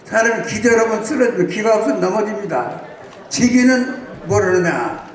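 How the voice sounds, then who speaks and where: A man's voice: sits high, expressive, normal speed. A man in his nineties from South Chungcheong giving a formal congratulatory speech and lecturing with rhetorical questions. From South Chungcheong, Korea. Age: 90s. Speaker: guest speaker. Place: large banquet hall. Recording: echoey hall, distant mic.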